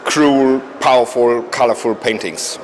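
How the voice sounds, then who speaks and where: A man interviewing an artist, asking halting questions and murmuring agreement in between. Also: medium pitch.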